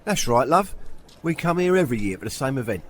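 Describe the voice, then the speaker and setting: Actor as animated, teasing footballer. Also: male voice, mid-range voice, fast, natural voice. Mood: chatty. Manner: teasing, colloquial. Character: bantering male Sunday-league footballer. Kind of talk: full-cast sci-fi audio drama.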